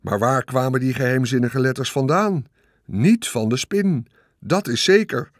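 A man's voice: medium pitch, unhurried, no echo, studio quality, lightly acted. A man voicing a pompous, grandiose fairground announcer making formal, oratorical proclamations in an audiobook. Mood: grand, proclaiming. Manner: ceremonious, oratorical. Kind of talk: audiobook character voice.

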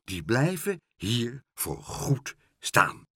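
A man voicing a mild, dreamy mayor in a children's storybook, musing and gently pleased. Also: mid-range voice, huge pitch swings, a little slow, breathy edge, dry recording, studio quality, voice pushed up, heavily acted. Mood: beaming. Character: mild, dreamy, delighted mayor who loves art.

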